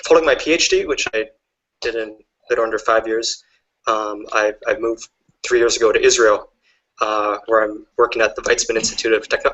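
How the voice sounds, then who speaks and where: A man's voice: on the low side, fairly bright tone. A man in his thirties from Canada, introducing himself as a researcher on a video call, chatty with many fillers and restarts. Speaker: panelist, researcher. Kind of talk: casual self-introduction. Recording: dull lo-fi webinar audio.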